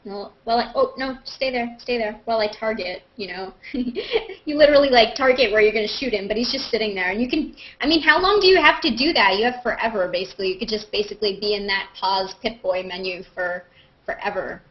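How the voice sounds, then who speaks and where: A woman chatting casually and jokingly as the host of a group video hangout. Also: fairly quick. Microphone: video call. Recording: muffled low-fidelity video call audio.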